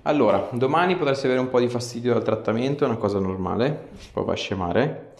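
A man, a chiropractor giving a patient short, gentle, repeated instructions. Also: medium pitch, fast. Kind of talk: instructing a patient during treatment. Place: small indoor treatment room. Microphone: camera a few steps away. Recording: roomy echo, camera across small room.

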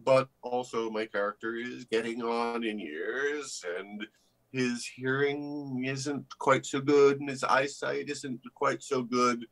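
An older man, an actor giving reflective, articulate interview answers over a video call in measured phrases. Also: medium pitch, steady tone, a bit bright, poor audio. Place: indoor room with bookshelves.

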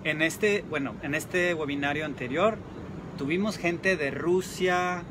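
A man speaks as a friendly, quick co-host on a live stream. His voice is a bit higher than most men's. The sound comes from a phone held at arm's length outdoors, with clear traffic and street noise behind him. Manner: friendly, helps with words, quick. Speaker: dog trainer, co-host. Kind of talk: live video chat. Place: outdoor patio.

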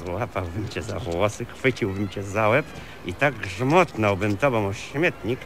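An actor playing a politely violent, brutish husband in a comedy radio play, folksy and matter-of-fact. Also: male voice, on the low side, measured pace, noisy background. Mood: threatening. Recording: radio comedy with audience behind.

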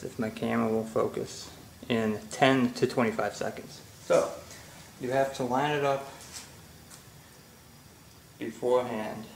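A man casually explaining a motorcycle grip install while working in his garage, talking slowly with many fillers. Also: mid-range voice, vocal fry. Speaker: motorcycle vlogger. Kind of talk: casual how-to narration. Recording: echoey garage with faint ambient noise.

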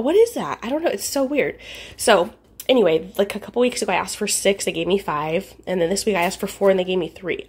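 A woman's voice: medium pitch, darkish tone, slight background noise. A woman in her thirties, stuffy and congested, chatting casually to her phone camera from her car and kitchen with lots of ums and little laughs. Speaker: vlogger. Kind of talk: casual vlog chat. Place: parked car, then home kitchen. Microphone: phone camera at arm's length.

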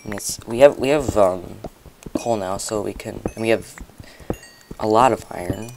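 A young man with a hoarse voice, chatting and rambling to viewers as he comments on his own gameplay. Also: fairly low pitch, moderate pace, noisy background. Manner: chatty, rambling, talks to viewers while playing.

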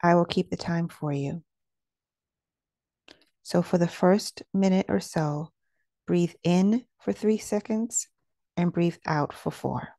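Woman, meditation guide, dark relaxed tone, creaky edges, soothing, measured, dry recording. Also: on the low side, no echo. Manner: slow, soothing, measured instruction.

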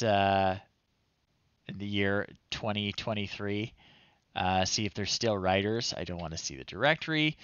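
A man in his thirties hosting a casual livestream, talking in an unhurried, amused, halting way. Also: deep voice, a bit bright, no room sound, muffled recording. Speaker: livestream host. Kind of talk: casual livestream commentary, reading children's stories aloud. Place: small home room, indoors.

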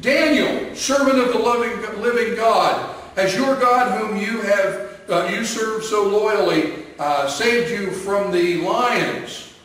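A man's voice: a little high, expressive, slow, a bit bright, very echoey, distant mic, poor audio. A man voicing an anxious, commanding ancient king in a children's Bible story.

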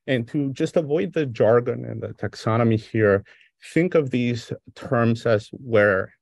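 A man's voice: fairly low pitch, vocal fry, dry recording. Middle-aged Greek-accented male lecturer, dry close sound, casual and conversational over a video call. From Greece. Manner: relaxed, conversational, with frequent fillers. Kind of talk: remote academic lecture. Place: home or office on a video call. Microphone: video call microphone.

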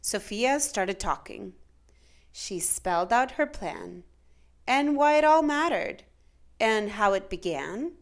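A woman reading a children's picture book aloud in a dramatic, measured, expressive way. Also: a little high. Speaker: children's storytime reader.

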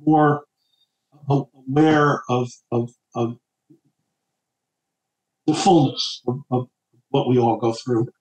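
A middle-aged man from New Jersey, an author guest on a casual podcast, talking in a halting way with many stammered repeats. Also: medium pitch, fairly even intonation, a little mellow. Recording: echoey room.

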